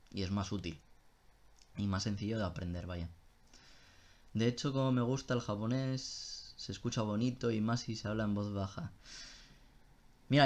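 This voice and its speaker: A young man, speaking in a fairly low voice. He talks loosely and casually on a live stream, swearing now and then and breaking off mid-sentence. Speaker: streamer answering viewer questions.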